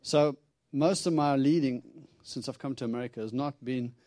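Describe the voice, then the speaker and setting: A man's voice: mid-range voice, normal speed, dark tone, no echo, clean studio sound. A middle-aged man from South Africa with a Texan accent, preaching a chatty, humorous sermon and acting out his talks with God. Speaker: visiting preacher. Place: church.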